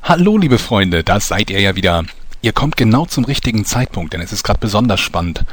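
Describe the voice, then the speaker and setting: A man narrating a scripted audio drama as a storyteller who addresses the listeners directly, with a husky voice. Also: lowish voice, sing-song, brisk, no room sound.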